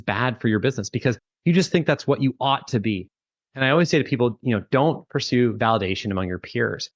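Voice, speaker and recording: A man's voice: medium pitch, dry recording. A Canadian man in his thirties, a startup founder talking casually as an interview guest, chatty with lots of fillers and restarts. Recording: dry, dull lo-fi call audio.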